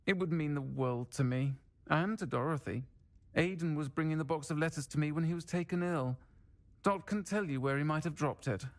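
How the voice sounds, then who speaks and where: An actress voicing a kindly, concerned middle-aged pub keeper in a video game, speaking in a gentle, measured, confiding way. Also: female voice, sits low. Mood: earnest.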